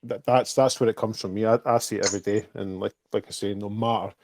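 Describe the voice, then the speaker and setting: A man's voice: on the low side, steady tone, quick pace, a little mellow, a little hiss or hum. A man with a Scottish accent answering questions on a casual group call, speaking frankly and repeating words as he thinks. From Scotland. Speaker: project co-founder answering questions.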